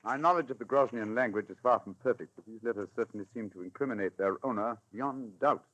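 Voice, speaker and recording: An actor with a British accent playing a cool, analytical gentleman detective in 1940s radio drama, clipped, precise and formal. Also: fairly low pitch, fast, muffled recording. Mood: probing, detached. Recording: old radio broadcast.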